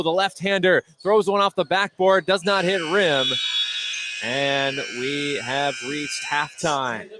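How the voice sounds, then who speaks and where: An American man does live basketball play-by-play on the radio. His voice sits fairly high, over clear gym noise with bouncing balls. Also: a bit bright. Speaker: play-by-play sports announcer. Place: courtside in a school gym. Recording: noisy gym broadcast, bouncing balls behind. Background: gym ambience, basketball bounces.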